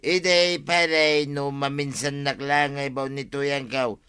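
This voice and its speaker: An actor playing a boisterous, tipsy village husband in an Ilocano comedy radio drama, with an Ilocano accent. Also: male voice, mid-range voice, little pitch movement, slow, bright, forceful tone, clean tone.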